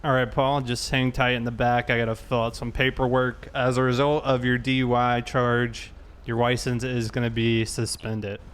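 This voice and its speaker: A man's voice: mid-range voice, flat delivery, moderate pace, fairly bright tone, clean tone. Matter-of-fact man playing a patrol deputy. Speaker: streamer role-playing a sheriff's deputy. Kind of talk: police roleplay game talk and radio calls.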